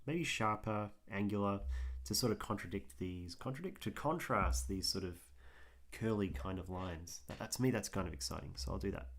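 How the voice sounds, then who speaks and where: A hoarse man, chatting casually and thinking aloud while he draws on a livestream, with lots of ums. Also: low-pitched. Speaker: artist streaming his drawing.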